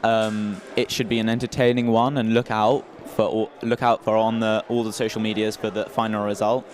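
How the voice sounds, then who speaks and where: A man's voice: fairly low pitch, level tone, normal speed, slight background noise. A young man co-hosting a student sports livestream, talking in a matter-of-fact way. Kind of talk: casual sports livestream sign-off.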